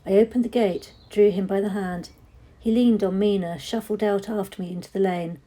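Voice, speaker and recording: Woman, story reader, mellow dark tone, breathy, dramatic measured phrasing, expressive narration. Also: medium pitch. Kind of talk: expressive audiobook-style narration.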